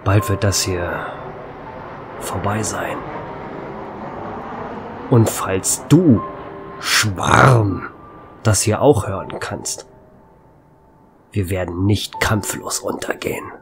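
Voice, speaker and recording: A man's voice: deep voice, very slow, gravelly, long pauses, noisy background, exaggerated voice. A man playing a doomed small-town radio host. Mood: resigned. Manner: urgent broadcast announcing, turning quiet and resigned. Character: male small-town radio host Gary, facing doom. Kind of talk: German fan radio drama acting.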